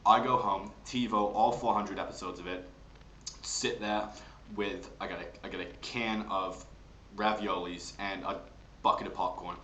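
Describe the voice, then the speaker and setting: A man's voice: on the low side, fairly even intonation, normal speed, a bit bright, lightly acted. Gossipy Long Island lady played by a man, stretched vowels, emphatic, comic, echoey room. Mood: gossipy, delighted. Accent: Long Island New York. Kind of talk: comic character monologue. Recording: roomy, noisy home recording.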